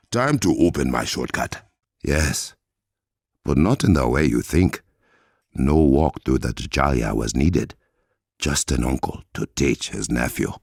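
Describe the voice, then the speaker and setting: A man playing a noble, resolute warrior king in a video game, with an African accent, a deep gravelly voice and formal, measured diction. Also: darkish tone, no echo, clean studio sound, heavily acted. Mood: measured, confident.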